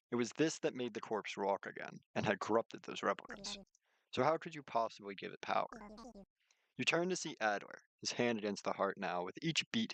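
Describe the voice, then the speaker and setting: A man's voice: lowish voice, a bit bright, dry recording. A man reading a visual novel aloud as a streamer, mixing narration with casual commentary.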